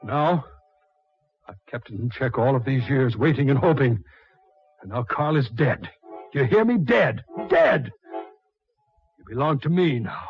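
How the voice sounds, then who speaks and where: An actor playing an ardent, insistent suitor, overwrought and melodramatic in 1940s radio style. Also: male voice, medium pitch, lively intonation, a little slow, pauses often, soft background music. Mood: possessive, insistent. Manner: impassioned, overwrought, forceful pleading. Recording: muffled 1940s radio recording.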